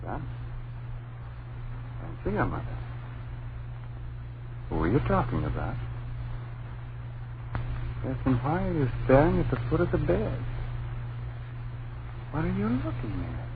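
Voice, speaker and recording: A man's voice: on the high side, huge pitch swings, unhurried, long pauses, heavily acted. An actor playing a protective, anxious father in an old-time radio horror drama, on a muffled, noisy recording. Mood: puzzled concern. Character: protective, anxious young father sheltering his family.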